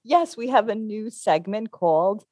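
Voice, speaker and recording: A woman co-hosting a library podcast in casual chat, giggly and animated, laughing often. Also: mid-range voice, measured pace, no echo, studio quality. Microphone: headphones and studio microphone.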